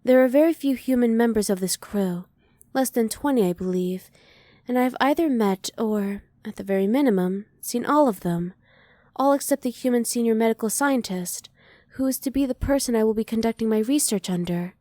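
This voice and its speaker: A woman voicing a curious, emotionally reserved alien scientist in an ASMR roleplay, speaking softly in measured, formal phrases. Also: on the high side, no echo, studio quality, lightly acted. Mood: apologetic, restrained. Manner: soft, measured, formal, restrained. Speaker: voice actor.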